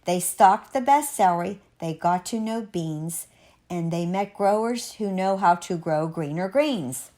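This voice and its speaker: A woman reading a rhyming children's picture book aloud as a storyteller, expressively, with measured, dramatic, sing-song emphasis. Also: lowish voice, expressive, dark tone.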